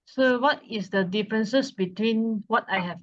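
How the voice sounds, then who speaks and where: A woman's voice: on the high side, moderate pace. A woman acting as moderator, reading submitted questions aloud to a teacher. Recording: dull lo-fi sound.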